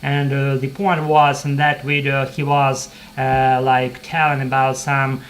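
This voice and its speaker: Halting non-native man, flat delivery. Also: medium pitch. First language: Russian. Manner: halting, many "uh" fillers and restarts. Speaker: vlogger practicing English. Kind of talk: rambling spoken English practice monologue. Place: sitting in an armchair at home.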